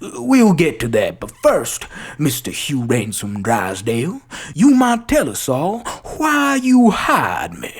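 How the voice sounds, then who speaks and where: An amateur performer reciting a film monologue as a theatrical, grandiloquent gentleman detective with a husky voice. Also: medium pitch, huge pitch swings, slow pace, a little hiss or hum, full character voice. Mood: accusing, dramatic. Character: theatrical gentleman detective, dramatic, deliberate, righteous.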